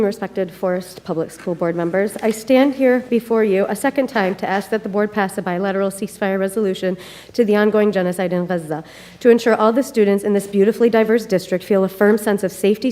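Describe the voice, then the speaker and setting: A woman's voice: mid-range voice, steady tone, fast talker, dark tone. A middle-aged woman, a parent, reading a formal and emphatic prepared speech to a board. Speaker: parent speaker at a public meeting. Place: school board meeting room.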